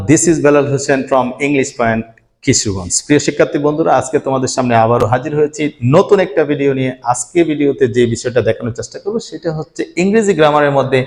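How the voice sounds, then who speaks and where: A man's voice: mid-range voice, a little mellow. A man from Bangladesh teaching English grammar, addressing his students directly and repeating each rule step by step. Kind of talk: classroom grammar lesson to students.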